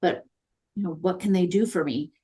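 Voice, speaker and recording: Interview guest: woman, somewhat low pitch, friendly and talkative, peppered with "um". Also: normal speed, mellow, slightly rough. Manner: chatty and upbeat, many fillers. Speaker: guest consultant on virtual assistants. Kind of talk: conversational interview.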